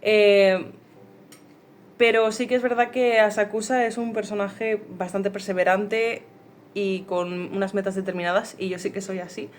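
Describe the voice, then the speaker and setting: A woman's voice: medium pitch, level tone, a little slow, slight background noise. A woman with a Castilian accent from Spain, talking casually as a voice actor being interviewed. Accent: Spanish (Spain). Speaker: amateur voice actor.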